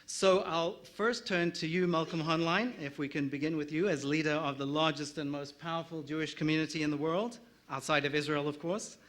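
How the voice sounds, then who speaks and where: A man's voice: on the high side, steady tone, fairly quick, few pauses, a bit bright. South African man moderating a panel. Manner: reads introductions with small slips and light jokes.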